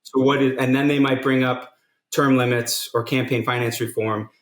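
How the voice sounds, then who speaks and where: An earnest man walks through his reasoning one step at a time, mid-pitched and steady. His voice carries a noticeable roomy echo from an indoor space. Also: moderate pace. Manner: earnest, explanatory, builds arguments step by step. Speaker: guest, nonprofit co-founder. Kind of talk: podcast interview.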